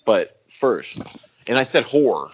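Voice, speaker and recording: A man's voice: medium pitch, measured pace, slightly rough, dull audio. A man co-hosting a podcast in casual chat, rambling and swearing as he acts out lines. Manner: animated, rambling, swears often, acts out quotes. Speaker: podcast co-host.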